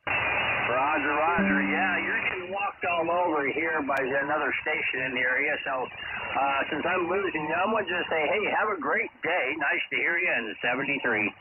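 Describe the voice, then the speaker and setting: A man's voice: a little high, normal speed. An American man heard over a two-way radio, making a casual ham radio exchange as a caller. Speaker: amateur radio operator calling in. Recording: narrow tinny shortwave radio signal with crackle.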